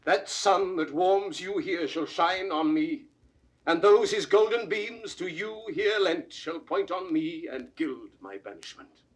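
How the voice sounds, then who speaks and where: A man's voice: high voice, animated, measured pace. An actor playing a proud, defiant banished nobleman, declaiming Shakespearean verse firmly. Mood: proud acceptance. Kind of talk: 1960s classical Shakespearean verse acting.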